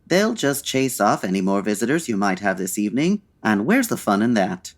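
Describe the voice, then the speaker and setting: An actor playing a suave, wry 1940s gentleman detective in a comedic radio drama, with dry, urbane and formal delivery. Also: lowish voice, big pitch swings, moderate pace. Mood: dry, composed. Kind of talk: comedic old-time radio drama acting.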